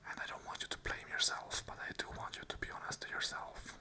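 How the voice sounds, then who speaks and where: Bright, sharp whisper, fully unvoiced. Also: quick pace. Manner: whispers the whole way, soft and unvoiced; earnest, repeating affirmations in short phrases. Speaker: ASMR channel host. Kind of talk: unscripted whispered ASMR monologue.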